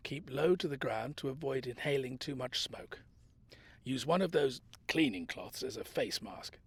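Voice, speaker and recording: A man playing an anxious but determined grandfather on the run in an audio drama, urgent and often dropping into hushed whispers. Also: mid-range voice, animated, normal speed, husky, no room sound. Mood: strained, muttering. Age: older.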